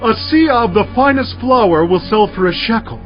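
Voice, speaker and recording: Bible drama prophet played by a man: solemn authority, fairly high voice, faint music behind. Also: animated, moderate pace. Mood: stern, prophetic. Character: solemn, authoritative prophet pronouncing God's word. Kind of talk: dramatized scripture acting. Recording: dull audio with music underneath.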